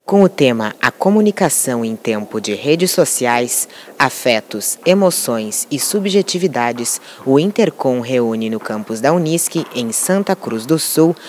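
A man's voice: mid-range voice, slight background noise. A young man reading a scripted news report as a reporter at an event. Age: young adult. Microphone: handheld reporter mic.

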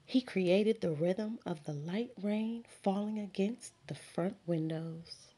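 A woman, a teacher, reading a children's picture book aloud with slow, expressive storytelling. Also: mid-range voice, husky. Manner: slow, expressive storytelling with sound words and character voices.

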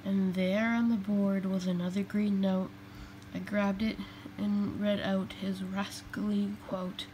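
A woman reading a children's picture book aloud in a deliberate way, phrase by phrase. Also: mid-range voice, little pitch movement, warm tone, rough recording. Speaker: storybook reader. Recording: faint background noise, home recording.

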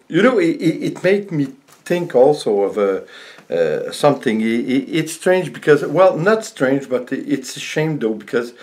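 An older man, around sixty, a native French speaker with a French accent, chatting casually to camera in a halting, rambling way as a vlogger in his home studio. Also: mid-range voice, darkish tone. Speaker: vlogger and painter. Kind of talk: casual vlog chat to camera. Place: small home art studio indoors.

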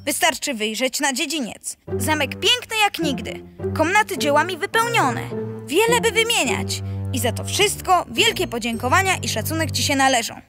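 A woman's voice: high-pitched, expressive, moderate pace, music in the background. A woman voicing a young boy who plays a firm, courteous king with formal declamation in a children's radio play.

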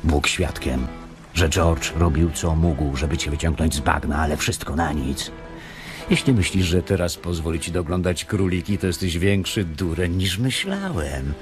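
An actor in a radio play voicing a cruel, scolding imaginary giant rabbit with a taunting, scornful manner. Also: male voice, sits low, lively intonation, moderate pace. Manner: taunting, foul-mouthed, scornful. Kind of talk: radio drama acting. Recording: music behind.

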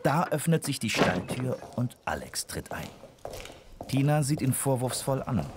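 A man's voice: medium pitch, big pitch swings, unhurried, heavy background noise. A man narrating a children's radio play from a script.

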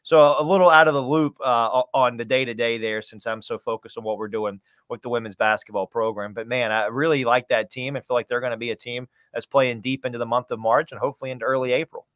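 A man's voice: medium pitch, fairly even intonation, quick pace, few pauses, a bit bright, no echo. A man in his thirties talking conversationally as a sports interview guest, folksy and emphatic, repeating phrases for stress. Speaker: sports play-by-play announcer, podcast guest. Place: small home room, video call.

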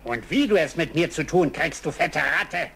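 An actor playing a sarcastic, menacing hunter villain in a children's cartoon radio play. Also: male voice, on the high side, animated, normal speed, vocal fry, a bit bright, slight background noise. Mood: threatening.

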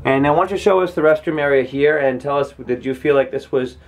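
A man's voice: fairly high pitch, quick pace. A man behind the camera hosting a travel vlog, narrating and asking leading interview questions in a small cabin. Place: small ship cabin, indoors. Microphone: camera mic, behind the camera.

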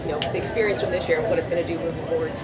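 A man's voice: fairly high pitch, steady tone, very fast, distant mic, background noise. A man asking postgame questions off camera in a rambling way full of fillers. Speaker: press interviewer.

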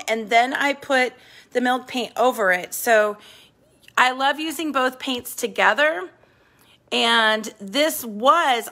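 A woman's voice: a little high, pushed and bright. A middle-aged woman who owns a vintage shop, chatting enthusiastically through a casual home tour of her apartment while filming on a phone. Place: indoor apartment living room. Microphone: handheld phone filming the room.

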